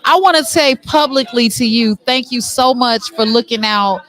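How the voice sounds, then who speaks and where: A woman's voice: on the high side, moderate pace, fairly bright tone. A middle-aged woman hosting a casual on-location interview, chatty and playful, generous with compliments. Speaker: show host and interviewer. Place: on location at a theatre after a stage play.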